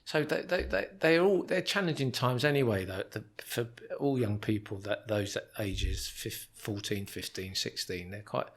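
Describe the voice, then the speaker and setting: A man hosting a podcast, gently guiding his guest with short prompts and reflections. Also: lowish voice, moderate pace, hardly any pauses. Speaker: podcast host and interviewer. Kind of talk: conversational interview.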